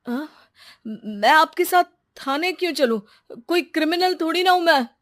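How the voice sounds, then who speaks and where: A woman's voice: very high-pitched, expressive, moderate pace, fairly bright tone. A woman voicing an anxious, hesitant adult man, a son and husband in a family drama. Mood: anxious, agitated. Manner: hesitant, agitated.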